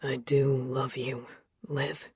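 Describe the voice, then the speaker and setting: A woman voicing a young male vampire lover in a low, breathy whisper. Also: level tone, slow, a little mellow, no room sound, clean studio sound, heavily acted. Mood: tender.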